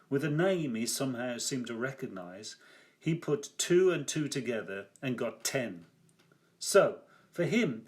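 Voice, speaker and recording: An older man reading his own first-person story aloud like an author at a reading, theatrical and wry, with deliberate emphasis. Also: medium pitch.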